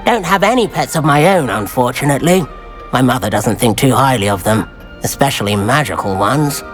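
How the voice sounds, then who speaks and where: A man's voice: mid-range voice, expressive, brisk, faint music behind, natural voice. A man with a British accent playing a friendly, chatty, easy-going teenage schoolboy in a video game, earnest in manner. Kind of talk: video game character dialogue.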